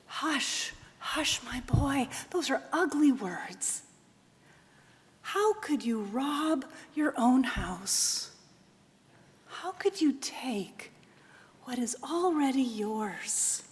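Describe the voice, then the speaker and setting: A woman voicing a doting, forgiving older mother who speaks softly and often in a hush, recorded with a long echo. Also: sits high, lively intonation, drawn-out words, heavily acted. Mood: hushed, tender. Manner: soft hushed tender voice, often whispering.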